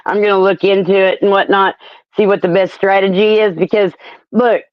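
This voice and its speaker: A woman in her fifties banters on a panel stream with a blunt, unfiltered mouth. Her pitch sits in the middle, and the audio is dry and slightly dull. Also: little pitch movement, fairly quick, no room sound. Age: 50s. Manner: blunt, swears freely.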